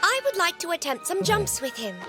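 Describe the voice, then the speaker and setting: Casting: eager little girl, animated dub, very high, bouncy pitch, strong music bed. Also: a little fast. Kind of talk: animated cartoon dub acting. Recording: loud music bed behind the voice.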